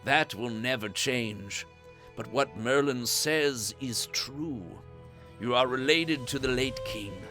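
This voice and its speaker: A kind middle-aged knight and father from a storybook, as a narrator reads him. He is steady and reassuring, speaking at a measured pace with music underneath. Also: mid-range voice.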